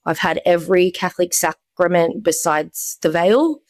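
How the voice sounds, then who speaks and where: A young woman with a notably low voice, recorded dry and close with no room sound. She tells her personal story candidly, in a relaxed conversational way. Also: moderate pace, no room sound. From Australia. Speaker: guest. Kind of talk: personal testimony.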